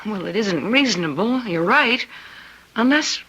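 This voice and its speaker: An actress playing a caring, earnest hospital doctor with a sympathetic manner in old-time radio drama. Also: female voice, mid-range voice, lively intonation, a little slow, a bit bright, breathy edge, poor audio. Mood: pleading.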